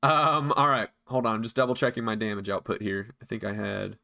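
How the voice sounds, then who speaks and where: A man's voice: lowish voice, flat delivery, fairly quick, creaky, sharp tone. A man working as game master, running a tabletop game and calling out moves and dice rolls.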